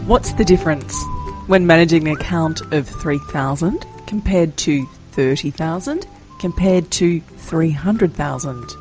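A woman hosting a trading podcast, giving clear scripted intros. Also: deep voice, unhurried, a little mellow, faint music behind. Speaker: podcast host.

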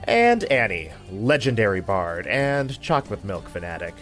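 Animated male trailer narrator. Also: fairly low pitch, moderate pace, faint music behind. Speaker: promo narrator. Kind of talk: scripted podcast trailer narration.

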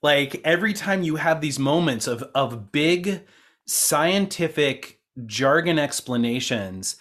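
A man's voice: a little high, animated, slow, a bit bright. A man interviewing colleagues in a casual, conversational way. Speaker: interviewer and co-producer.

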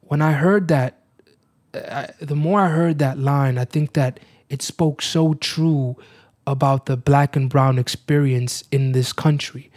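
A man, an actor, speaking thoughtfully in an interview in a measured way, repeating phrases for emphasis. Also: medium pitch, fairly even intonation, a little mellow, dry recording, clean studio sound. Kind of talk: thoughtful interview talk, quoting verse.

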